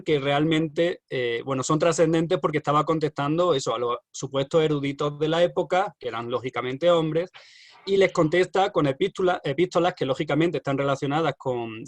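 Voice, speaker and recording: A man's voice: fairly high pitch, no echo. Man lecturing, hesitant. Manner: hesitant, many fillers and restarts. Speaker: university lecturer. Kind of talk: online lecture with questions.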